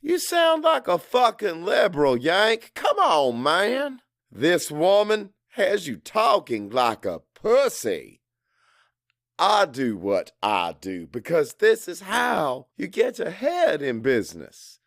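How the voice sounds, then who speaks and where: A crude, cocky salesman , voiced by a male narrator, tells his story with brash scorn. His pitch swings dramatically while the pace stays on the slow side, in a dry, close audiobook recording. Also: sing-song, a bit bright, dry recording, exaggerated voice. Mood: scornful, indignant. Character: crude, cocky salesman, macho, resentful, foul-mouthed.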